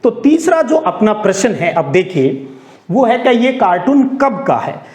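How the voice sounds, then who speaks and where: High-pitched man teaching, echoey room. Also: big pitch swings, measured pace, a little mellow. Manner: conversational, explanatory, asks questions and answers them. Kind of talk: storytelling classroom lecture.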